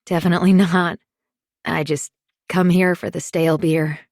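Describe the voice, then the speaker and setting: A woman's voice: lowish voice, level tone, normal speed, a little mellow, dry recording, studio quality, natural voice. A woman voicing a friendly, teasing young man in an audiobook thriller. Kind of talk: audiobook dialogue reading.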